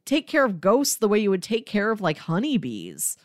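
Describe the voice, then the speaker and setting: A woman's voice: mid-range voice, huge pitch swings, normal speed, darkish tone, dry recording, clean studio sound. A woman co-hosting a podcast, talking casually and conversationally about books. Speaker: podcast co-host. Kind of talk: casual book discussion podcast.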